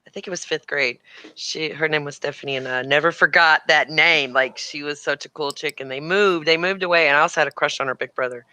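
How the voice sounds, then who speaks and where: A woman's voice: sits low, a little fast, pushed and bright. A woman hosting a casual chat stream, laughing often as she talks. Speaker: streamer host.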